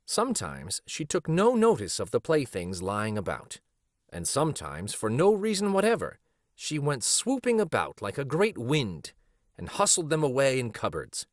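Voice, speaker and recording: A man narrating a children's storybook aloud in a deliberate, expressive way. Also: mid-range voice, dry recording, clean studio sound.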